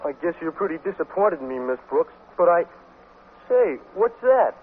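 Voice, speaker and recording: A man's voice: on the high side, big pitch swings, slightly rough. A man playing a dim, bashful teenage athlete, hesitant and gawky, in a 1950s radio sitcom. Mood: glum, sheepish. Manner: hesitant, gawky, slow-witted. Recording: tinny, noisy vintage radio recording.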